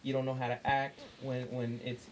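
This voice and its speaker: A man's voice: medium pitch, monotone, moderate pace, slight background noise. A man co-hosting a podcast in casual group chat, earnest and repeating himself, swearing freely. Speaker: podcast co-host.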